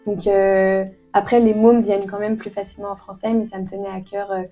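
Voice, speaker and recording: A woman's voice: medium pitch, level tone, fairly quick, warm tone, faint music behind. A young woman in her twenties from France, answering interview questions earnestly with many hesitations and fillers. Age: 20s. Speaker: author guest.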